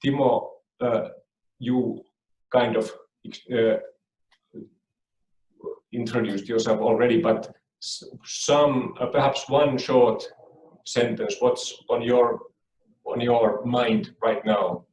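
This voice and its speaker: Slow, hesitant male meeting moderator. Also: mid-range voice, fairly even intonation, a little mellow. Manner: slow, hesitant, many fillers. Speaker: conference moderator. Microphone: video call. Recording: echoey room, distant from the mic.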